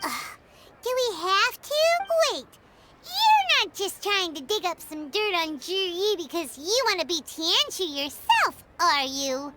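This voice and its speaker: A woman voicing a small, excitable childlike companion in a video game, with a squeaky voice and exclamatory delivery. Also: heavy background noise, heavily acted. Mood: reluctant, suspicious. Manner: drawn-out, exclamatory. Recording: loud music behind the voice.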